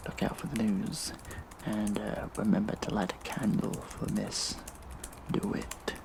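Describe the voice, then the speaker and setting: An actor playing a smug, vengeful hacktivist in an audio drama, speaking in a breathy, sinister, mock-casual hush. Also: male voice, lowish voice, level tone, unhurried, noisy background. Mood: smug farewell. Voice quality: breathy, whispery, gravelly creak. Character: vengeful gleeful hacktivist vigilante man. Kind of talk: audio drama monologue, addressed to viewers.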